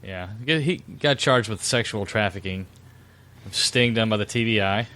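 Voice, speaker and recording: A man's voice: on the low side, a little slow, pushed and bright. A man hosting a casual podcast, sarcastic and swearing freely, laughing as he talks. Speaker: podcast host. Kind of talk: casual profane podcast chat.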